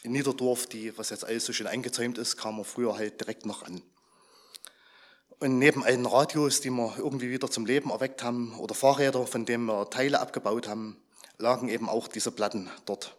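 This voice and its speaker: A slightly hoarse man who is under the weather gives a chatty, informal talk to a gathered congregation and keeps asking them questions. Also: medium pitch, steady tone, a little fast. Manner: chatty, asks the audience questions.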